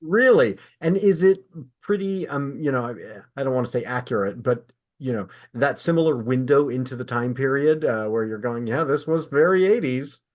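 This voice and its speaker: Casting: man, average pitch, informal podcast interviewer, engaged and conversational. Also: expressive, moderate pace.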